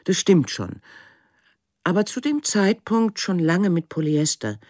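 An actress playing a middle-aged woman artist looking back on her life in a measured, wry radio drama monologue. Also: on the low side, very animated, a little mellow, no echo, clean studio sound. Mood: reflective, wry. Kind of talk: literary first-person radio drama monologue.

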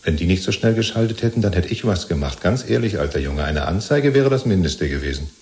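An actor in a 1970s German radio play, playing a chatty, servile jailed party loyalist who rambles and justifies himself. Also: male voice, deep voice, lively intonation, fast talker, a little mellow. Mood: self-justifying. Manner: rambling, ingratiating, chummy, seeking reassurance.

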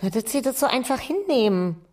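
A woman's voice: on the high side, sing-song, brisk, darkish tone. An actress playing a nosy, motherly boarding-house landlady in a German radio drama, chatty, insinuating and easily scandalised. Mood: indignant.

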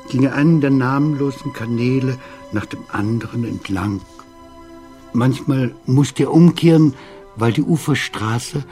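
A man's voice: medium pitch, dark tone. A man reading a literary story as scripted narration. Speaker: reader of literary prose.

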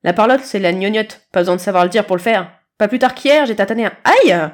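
A woman's voice: medium pitch, fast talker, close to an everyday voice. Woman voicing a cocky, scrappy little boy kitten. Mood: boastful. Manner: arrogant, mangles long words. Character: boastful, scrappy young kitten warrior, male, excitable. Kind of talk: acted storybook dialogue.

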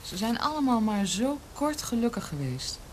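An actress playing an anxious, devoted young niece in a radio drama, earnest, questioning and deferential. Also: female voice, fairly high pitch, big pitch swings, moderate pace, a little hiss or hum. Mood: troubled. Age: young adult.

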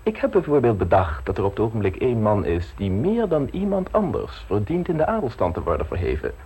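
An actor playing a suave, ambitious gentleman politician in an old radio play, polished, self-assured and teasingly frank. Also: medium pitch, wildly swooping pitch, fairly quick, slightly rough, full character voice. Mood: assured, scheming.

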